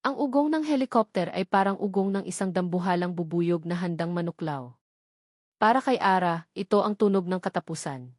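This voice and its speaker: A woman with a Filipino accent reads a scripted romance drama as narrator, in a measured, dramatic storytelling manner with short pauses. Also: medium pitch, a little mellow, no room sound, clean studio sound.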